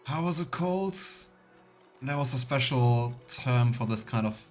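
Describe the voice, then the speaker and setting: A man's voice: mid-range voice, animated, unhurried, faint music behind. A man giving casual, chatty let's play commentary with playful sarcasm. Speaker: livestreamer playing a video game.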